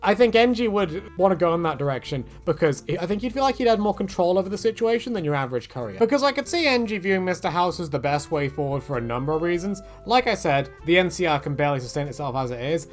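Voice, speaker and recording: Fast, chatty man over music. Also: a little high, animated. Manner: chatty, tangent-prone, self-correcting. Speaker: gaming video creator. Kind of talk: casual rambling video commentary.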